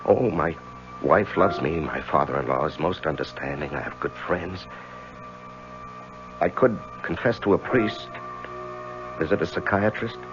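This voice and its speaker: An actor playing a troubled middle-aged lawyer in a 1970s radio drama, speaking in a halting, confessional way. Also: male voice, rumbling low, expressive, full character voice. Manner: halting, hesitant, stammering. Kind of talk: 1970s radio drama acting, narrating and in scenes. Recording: dull lo-fi 1970s radio, music underneath.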